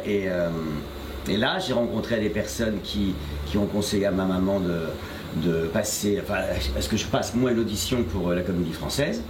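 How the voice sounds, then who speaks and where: An older man, a voice actor, answering interview questions about his career in a hesitant way, with many fillers and restarts. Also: sits low. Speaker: voice actor guest. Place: shop interview, echoey room. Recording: echoey room with noise.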